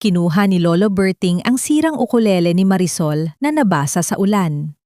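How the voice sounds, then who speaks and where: A woman's voice: fairly low pitch, lively intonation, normal speed, warm tone, dry recording, clean studio sound. A woman reading a scripted dramatic story as an audiobook narrator.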